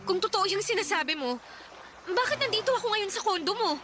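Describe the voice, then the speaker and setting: A woman acting as a confused, hungover young woman in a radio drama, asking sharp, suspicious questions. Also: very high-pitched, lively intonation, a little fast, noisy background. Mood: accusing.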